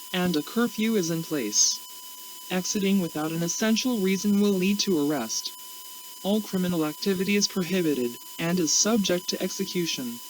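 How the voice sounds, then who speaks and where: A man playing a grave government spokesman, reading a formal, solemn scripted emergency address with measured phrasing over faint hum and hiss. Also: sits high, close to an everyday voice, rough recording.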